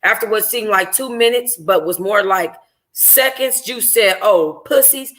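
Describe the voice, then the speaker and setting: A woman reading a first-person novel aloud in an expressive, informal way. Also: mid-range voice, a little slow. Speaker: audiobook reader, book club host.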